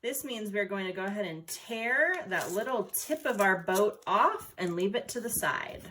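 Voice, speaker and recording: A woman's voice: mid-range voice, lively intonation, normal speed, a bit bright, slight background noise. A woman giving step-by-step craft instructions for children in a playful, informal way. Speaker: origami instructor.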